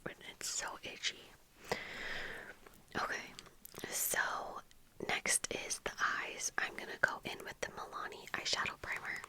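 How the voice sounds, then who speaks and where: A young woman whispering in a casual ASMR get-ready-with-me chat, breathy and halting, close to the microphone. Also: bright, forceful tone, rough recording. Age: young adult. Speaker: ASMR video creator. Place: small indoor room at home with bookshelves. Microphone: fluffy-covered microphone close in front, earphones on.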